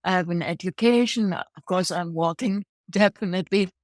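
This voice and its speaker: A woman's voice: on the low side, normal speed, a little mellow, no echo, studio quality. A woman in her sixties from Germany with a German accent, telling her life story as an interview guest, upbeat and laughing often. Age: 60s. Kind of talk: interview chat.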